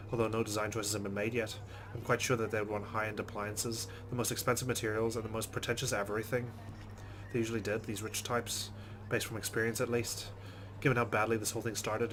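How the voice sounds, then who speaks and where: Low-voiced man reading game text. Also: a bit bright, slight background noise. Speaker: let's play host. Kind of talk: reading game narration aloud with casual asides.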